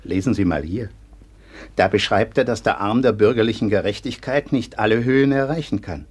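An actor playing a stern, dutiful schoolteacher and guardian in a German radio play, speaking formally. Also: male voice, fairly low pitch, brisk, breathy edge. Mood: impressed, confiding.

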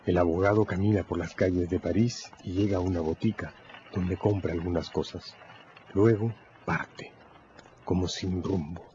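A man delivering dramatic scripted narration for a radio drama, storytelling with deliberate pauses. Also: sits low, fairly even intonation, mellow, lo-fi sound, a little hiss or hum.

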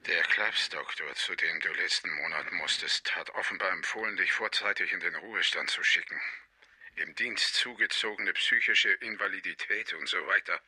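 Brief: worried, blunt police colleague for radio crime drama; very low, piercingly bright delivery. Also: male voice, moderate pace, husky, exaggerated voice. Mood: urgent, concerned. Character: blunt, worried older police colleague breaking bad news.

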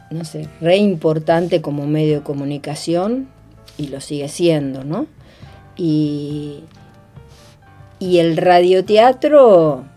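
An older woman with an Argentine accent reminisces in an interview, reflective and halting, her phrases trailing off. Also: low voice, warm tone.

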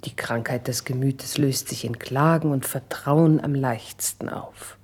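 An actress playing a consoling, devoted lady friend of a princess, speaking formal measured verse in a classical radio drama with a dark, husky voice. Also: rumbling low, fairly even intonation, slight background noise.

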